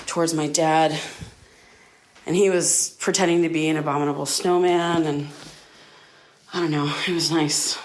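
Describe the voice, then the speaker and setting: A woman acting an anxious, sleepless young woman who rambles haltingly in a naturalistic audio diary drama. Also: low-pitched, slight background noise, lightly acted, poor audio. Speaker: lead actor in a fiction podcast.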